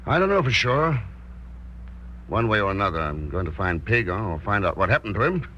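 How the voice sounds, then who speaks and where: An actor playing a cool, laconic, determined investigator with a clipped, dry manner in a 1940s radio drama. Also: male voice, on the low side, sing-song, brisk. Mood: cool, wry. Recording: dull, noisy old radio recording.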